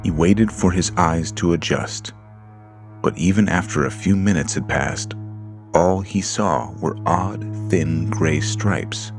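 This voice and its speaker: A man narrating a horror story in a slow, measured, hushed storytelling manner. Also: deep voice. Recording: music bed under voice.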